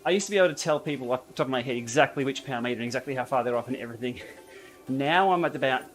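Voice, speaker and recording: A man's voice: medium pitch, rapid-fire, soft background music. A man hosting a live indoor cycling stream, chatting casually and answering viewer comments as he rides. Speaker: livestream host. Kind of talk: casual live chat while riding. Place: indoor training room.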